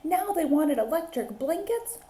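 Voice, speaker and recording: A woman teacher reading a storybook aloud to young children in a deliberate, expressive way, recorded in a roomy space. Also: high-pitched. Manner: deliberate, expressive, with drawn-out phrases. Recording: roomy echo on the voice.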